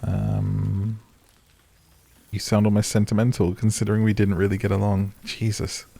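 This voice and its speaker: A man's voice: fairly low pitch, monotone, moderate pace, gravelly, warm tone. A man doing cozy, casual game commentary, musing and rambling as he plays. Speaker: video game streamer.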